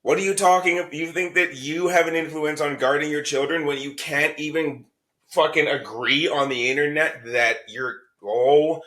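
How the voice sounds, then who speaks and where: A man's voice: on the high side, steady tone, normal speed, fairly bright tone. A man co-hosting a podcast, talking bluntly and heatedly, swearing freely as a parent giving advice. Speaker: podcast co-host. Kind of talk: candid podcast discussion.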